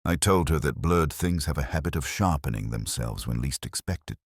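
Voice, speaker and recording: Man playing a very deep, brooding detective. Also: expressive, breathy edge, no room sound, studio quality, exaggerated voice. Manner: measured, solemn, reflective. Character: brooding, world-weary English police inspector recounting a case. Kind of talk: literary first-person scripted narration.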